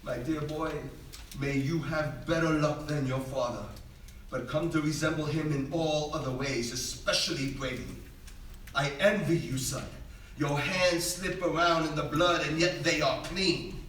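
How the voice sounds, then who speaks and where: A doomed greek warrior, bossy and declamatory, kinda high tense voice, theater reading. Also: male voice, unhurried, a bit bright. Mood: proud, solemn. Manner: declamatory, commanding. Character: proud, doomed Greek warrior father, commanding and bitter. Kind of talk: dramatic stage reading of Greek tragedy. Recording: echoey theater, distant mic, faint noise.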